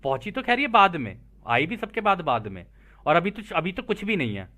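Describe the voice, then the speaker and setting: Lively, high-pitched man explaining. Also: brisk. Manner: conversational, colloquial, explains by repeating phrases. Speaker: host reading and explaining a translated book. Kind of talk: informal spoken commentary on read-out passages.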